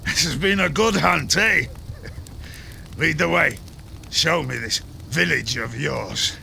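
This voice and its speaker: An actor playing a bold, hearty Viking warrior with a rough voice and a taunting swagger in a video game. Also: male voice, on the high side, takes it slowly, sharp tone, slight background noise, exaggerated voice. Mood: hearty, commanding. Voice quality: rough, very gravelly. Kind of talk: dramatic game cutscene acting.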